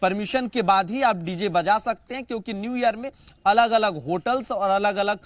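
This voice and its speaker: High-voiced male news correspondent. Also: normal speed, no room sound. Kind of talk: unscripted live news report.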